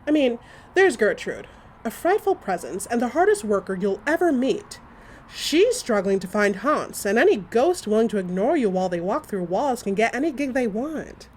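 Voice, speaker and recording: A woman acting a chatty, excitable gossip who tells stories to a friend in a theatrical, emphatic way, in a comic audio drama monologue. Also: on the high side, normal speed, slight background noise. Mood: gossipy.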